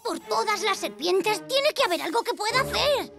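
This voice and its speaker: A woman's voice: extremely high pitch, very animated, normal speed, creaky, full character voice. A woman voicing a stubborn, plucky little boy lizard superhero in a cartoon, earnest and defiant, with a Castilian Spanish accent. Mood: determined. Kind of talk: animated children's cartoon dub. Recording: loud music behind.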